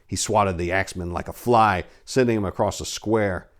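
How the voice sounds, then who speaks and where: A man's voice: low-pitched, normal speed. A man with a theatrical, hammy delivery, speaking to listeners as a storyteller. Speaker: narrator and story editor. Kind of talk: dramatic story narration and informal talk to listeners.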